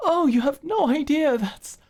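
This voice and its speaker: A woman playing an earnest, caring office manager in an intimate ASMR roleplay, speaking haltingly and with open emotion. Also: high-pitched, full character voice. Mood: flustered, relieved. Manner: halting, sighing, emotionally expressive.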